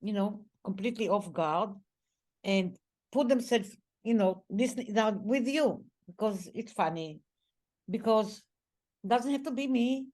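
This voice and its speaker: A woman's voice: medium pitch, a little slow, a little mellow. Woman host, interview chat, encouraging, repeats words while thinking. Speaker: podcast co-host.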